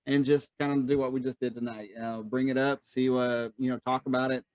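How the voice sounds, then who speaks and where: Male co-host, mid-pitched, relaxed and agreeable, dry close recording. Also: a little fast, no room sound. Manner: easygoing, affirming, filler-heavy. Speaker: podcast co-host. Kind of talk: casual chat.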